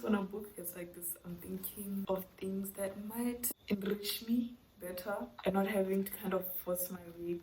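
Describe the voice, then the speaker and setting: A woman's voice: mid-range voice, darkish tone, a little hiss or hum, low-quality recording. A woman chatting casually and candidly in slangy, conversational language to camera, as a book vlogger. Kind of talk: casual reading vlog chat to camera.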